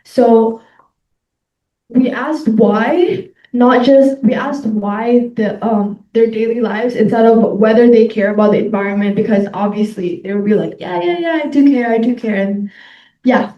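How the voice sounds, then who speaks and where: A teenage girl from Indonesia presenting her team's hypothesis, hesitant and filling pauses with ums. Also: a little high, reverberant, recorded from a distance, lo-fi sound. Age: teens. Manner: hesitant, with "um" and "uh" fillers. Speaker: student presenter. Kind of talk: class presentation.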